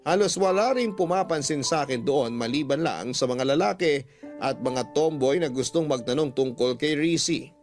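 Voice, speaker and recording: A man's voice: a little high, moderate pace, soft background music. A man narrating a sentimental first-person story as a radio-style storyteller, reading out a letter.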